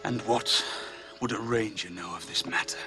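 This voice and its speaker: A man plays a proud warrior lord who speaks in a low, forceful voice. He challenges others with stern conviction while orchestral music plays beneath him. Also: moderate pace, natural voice. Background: orchestral film score.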